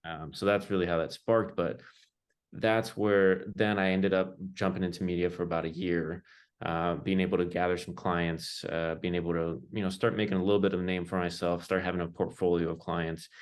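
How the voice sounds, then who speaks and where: A young man with a low-pitched voice. He talks as an interview guest, telling stories in a loose, informal, conversational way. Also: flat delivery, a little fast, clear, resonant tone. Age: young adult. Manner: informal, loose phrasing peppered with "like" and "you know". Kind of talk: casual conversational storytelling.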